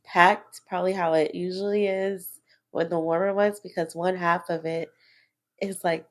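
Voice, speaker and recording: A woman's voice: fairly low pitch, steady tone, moderate pace. Giggly Texan woman in her thirties. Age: 30s. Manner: chatty, giggly storyteller. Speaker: podcast co-host. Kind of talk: casual chat.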